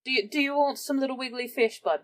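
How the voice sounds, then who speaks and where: A woman's voice: high voice, fast talker. A woman playing a tabletop game, talking casually as she improvises her character's words and actions. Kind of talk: casual improvised role-play chat.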